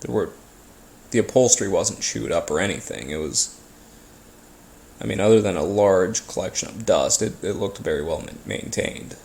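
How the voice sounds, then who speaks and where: A man telling a first-person story casually, as if being interviewed, in a halting, hesitant way with pauses and repeats. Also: deep voice, lively intonation, gravelly, darkish tone, slight background noise. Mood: uneasy, reflective. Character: ordinary man recounting childhood brushes with a haunting.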